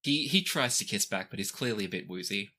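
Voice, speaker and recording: A man acting as game master, improvising narration for a tabletop game in a measured way with pauses mid-sentence. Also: on the low side, lively intonation, pushed and bright.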